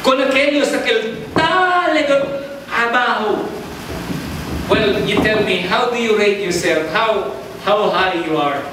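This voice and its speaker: A man's voice: high-pitched, measured pace. A man in his thirties with a Filipino accent, preaching a sermon at a pulpit and switching between English and local languages, emphatic and calling on the congregation. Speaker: pastor. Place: large church hall, indoors. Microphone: handheld mic at a pulpit, filmed from far. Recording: echoey hall from far off with noise.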